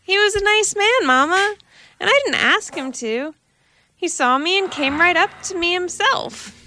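A woman's voice: extremely high pitch, lively intonation, unhurried, pushed and bright, a little hiss or hum, pitched above the performer's own voice, exaggerated voice. Whiny little kitten, a woman doing the voice. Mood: whining. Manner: whining, pleading. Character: small fluffy male kitten, whiny and naive.

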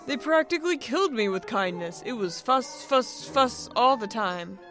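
A woman's voice: mid-range voice, fairly even intonation, measured pace, soft background music, natural voice. A woman voicing a weary, sarcastic, furry male alien in a story, with dry, exasperated delivery. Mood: bitter.

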